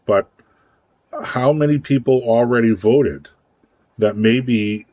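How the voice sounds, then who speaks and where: A man's voice: on the low side, a little mellow, dry recording. A man co-hosting a political talk radio show, chatting casually and often repeating words as he works out his thoughts. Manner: rambling, repeats words while thinking.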